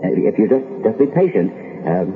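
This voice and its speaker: An actor playing a rattled news anchor in a 1970s radio drama, reading an emergency bulletin with hesitant, stammering, uneasy delivery. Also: male voice, on the low side, sing-song, moderate pace. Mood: uneasy, flustered. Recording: tinny noisy old radio broadcast.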